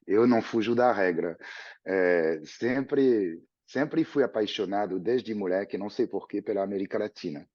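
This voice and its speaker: Interview guest: French-born man in his fifties, low mellow tone, thoughtful and rambling. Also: moderate pace, dry recording, muffled recording. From France. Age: 50s. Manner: reflective, storytelling with frequent restarts. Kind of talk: relaxed conversational interview.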